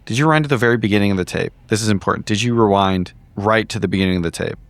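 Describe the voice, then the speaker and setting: A man's voice: lowish voice, very fast, dry recording. A man playing a tabletop roleplaying game, improvising in character with casual, sweary, analytical talk.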